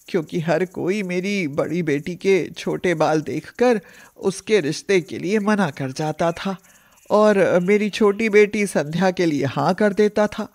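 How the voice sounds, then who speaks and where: A woman voicing an anxious middle-aged mother who coaxes and pleads, in an animated moral story. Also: on the low side. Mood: ashamed, pleading. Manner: pleading, coaxing.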